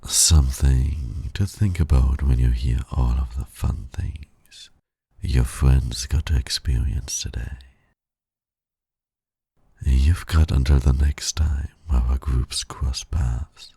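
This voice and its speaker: A man playing a suave, teasing supervillain in a flirtatious ASMR romance roleplay, gravelly and breathy, purring and often dropping to a whisper. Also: extremely low pitch, takes it slowly, warm tone, no room sound, exaggerated voice. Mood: sly, teasing. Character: suave, teasing male supervillain courting his hero nemesis.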